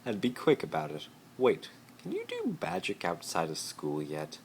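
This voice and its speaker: A man's voice: low voice, huge pitch swings, moderate pace, heavily acted. A man voicing a haughty, theatrical teenage rich boy wizard in a pompous, over-dramatic way, for a story reading recorded on a phone. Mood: bossy, mocking. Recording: noisy amateur phone recording.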